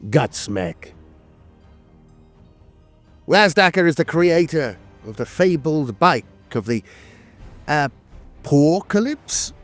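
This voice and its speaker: Higher-voiced man narrating lore with lively intonation. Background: music.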